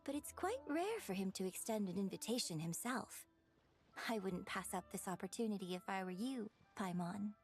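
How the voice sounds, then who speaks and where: A woman playing a refined, gracious, gently teasing young lady in a video game, with polite, articulate phrasing. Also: mid-range voice, animated, normal speed, faint music behind, natural voice.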